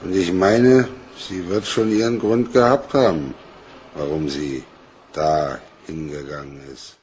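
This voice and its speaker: A sly fat cop in a radio crime play, drawling slow, needling, faint noise. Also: male voice, low voice, fairly even intonation, a little mellow. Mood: sly, insinuating. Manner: deliberate, insinuating.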